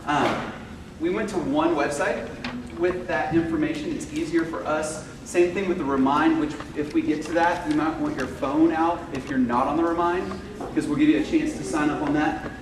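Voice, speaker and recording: A man who teaches fifth grade science, speaking loudly and informally to parents at a school meeting, with many fillers. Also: on the high side, steady tone, moderate pace. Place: school classroom or hall. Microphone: far camera microphone. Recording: echoey room, far from the mic, noisy.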